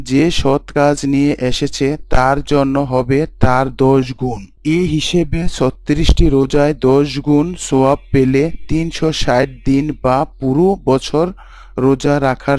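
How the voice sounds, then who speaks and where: A man playing a pious husband and father in a scripted Islamic story, explaining teachings in a measured, didactic way. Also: mid-range voice, a little mellow, no room sound, natural voice. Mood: earnest, instructive.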